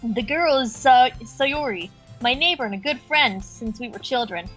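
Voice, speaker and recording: A woman's voice: on the high side, normal speed, a bit bright, slightly rough, faint music behind. A woman reading the inner monologue of a laid-back teenage boy aloud from a game, in a dry, matter-of-fact way. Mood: wry.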